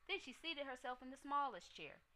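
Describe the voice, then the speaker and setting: A woman storyteller giving an expressive narration of a children's tale. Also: high-pitched, fairly quick, noisy background.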